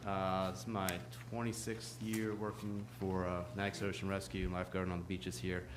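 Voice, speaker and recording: A lifeguard supervisor addressing a town board in a friendly way, with frequent pauses and fillers. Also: male voice, low voice, monotone, roomy sound, background noise. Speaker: ocean rescue supervisor. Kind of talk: short public address at a meeting.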